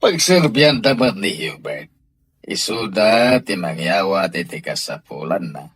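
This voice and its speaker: A man's voice: lowish voice, lively intonation, a little slow, fairly bright tone, slightly rough, no echo, clean studio sound, full character voice. A man playing a firm, reasoning middle-aged father in an Ilocano radio drama. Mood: dismissive.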